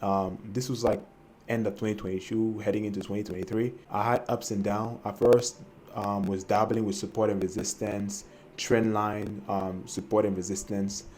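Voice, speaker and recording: A man's voice: fairly low pitch, flat delivery, normal speed, a little mellow, a little hiss or hum. A young man from Cameroon telling his personal trading story to camera, hesitant and full of fillers and restarts. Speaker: trading vlogger.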